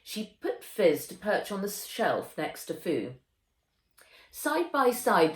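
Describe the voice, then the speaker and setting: A woman reading a children's novel aloud as its author, in a measured, expressive storytelling way. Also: medium pitch. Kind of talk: children's audiobook narration. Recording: roomy echo.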